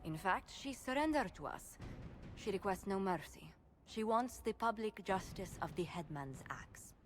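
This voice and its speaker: A woman playing a formal, measured court advisor. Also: medium pitch, animated, a little hiss or hum, natural voice. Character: formal female advisor presenting prisoners. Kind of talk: video game voice acting.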